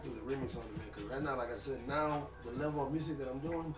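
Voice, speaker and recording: First rapper guy talkin fast n loose, echoey room, music going behind him. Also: medium pitch, fairly even intonation, recorded from a distance. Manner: fast, loose and emphatic, peppered with slang and swearing. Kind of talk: casual interview chat.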